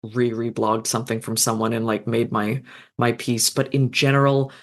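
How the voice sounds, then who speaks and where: A person in a casual podcast conversation who talks in an animated, outspoken way and swears now and then. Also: moderate pace. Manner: animated, swears, big jumps in pitch. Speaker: podcast participant.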